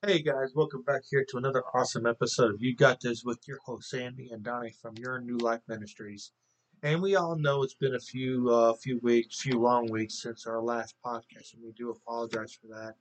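A man co-hosting a faith podcast, talking casually and off the cuff in halting phrases. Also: mid-range voice, fairly even intonation, slight background noise, rough recording. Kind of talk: casual unscripted talk.

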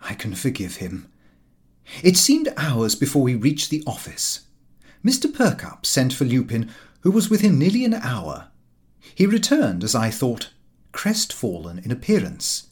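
A man reading a comic diary aloud in an expressive, theatrical way. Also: mid-range voice, a little slow. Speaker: audiobook narrator. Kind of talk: scripted literary narration of a comic diary.

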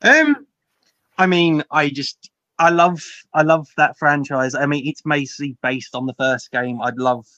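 A bright, forward-voiced guy on a panel podcast, chatting casually with no room around him. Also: a little high, unhurried, no room sound, lo-fi sound. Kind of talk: casual chat.

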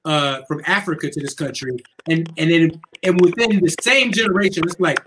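A man's voice: a little high, moderate pace. A man co-hosting a podcast, talking in a casual, emphatic and profane way during a heated discussion. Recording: noisy background with keyboard clicks.

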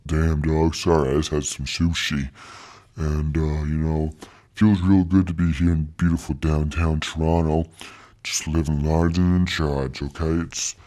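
Male actor as slangy, swaggering voice-over guy: extremely low, thick and dark, drawling and boastful, comic podcast guest. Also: level tone, unhurried. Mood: laid-back swagger. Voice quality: thick, deep voice. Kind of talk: comic in-character interview.

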